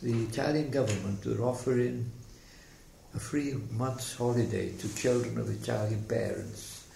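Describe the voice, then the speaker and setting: An older man with a slight Welsh accent recounts his memories. His voice is gravelly and creaky, and he speaks haltingly and reflectively. The recording sounds like an echoey room with faint background noise. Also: mid-range voice, dark tone. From South Wales. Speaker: interviewee telling life story. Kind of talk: oral history reminiscence.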